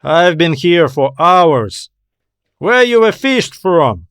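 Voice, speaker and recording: A man voicing a breezy sardonic castaway, pitched up. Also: very animated, takes it slowly, a bit bright, smooth, no echo, studio quality, full character voice. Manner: casual, wry, slangy. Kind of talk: audiobook character dialogue.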